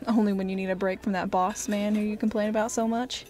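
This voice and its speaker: A woman's voice: medium pitch, level tone, quick pace, dark tone, lightly acted. A woman playing a perky, teasing teenage waitress who is familiar with her customers, in an audio drama. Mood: teasing, friendly.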